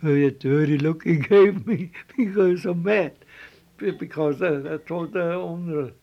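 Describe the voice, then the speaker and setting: An older man from Switzerland with a Swiss German accent, telling his life story in halting English with repeated words and restarts. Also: fairly high pitch, gravelly, highs cut off. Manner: halting, repeats words, non-native phrasing. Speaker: interviewee.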